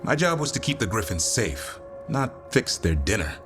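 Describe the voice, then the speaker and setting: An actor playing a gruff but caring creature keeper with a wry, grumbling manner in a video game. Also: male voice, lowish voice, sing-song, normal speed, music in the background. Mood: wry weariness.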